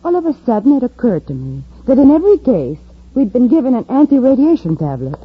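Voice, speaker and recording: A woman's voice: a little high, very animated, quick pace, breathy edge, heavily acted. An actress playing an earnest female ally of a space patrol in an old-time radio serial, with dramatic delivery. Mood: thoughtful. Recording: tinny band-limited old radio recording.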